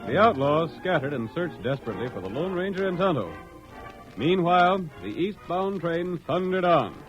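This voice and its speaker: A man's voice: a little high, very animated, moderate pace. A man narrating a western serial as a dramatic old-time radio announcer. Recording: muffled old radio broadcast, music behind.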